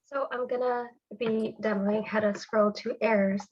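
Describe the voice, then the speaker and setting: A woman with a congested cold voice, explaining a software technique step by step in a screen-share tutorial over a video call. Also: on the high side, fairly even intonation, measured pace, dull audio, a little hiss or hum. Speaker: developer demonstrating a technique.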